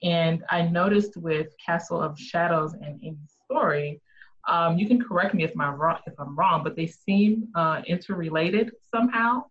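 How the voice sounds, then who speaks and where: A woman hosts a podcast in a friendly, chatty tone, her voice sitting slightly low. The recording sounds dull and lo-fi, like a modest home setup. She asks her questions with easy curiosity. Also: normal speed. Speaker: podcast host. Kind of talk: casual interview chat.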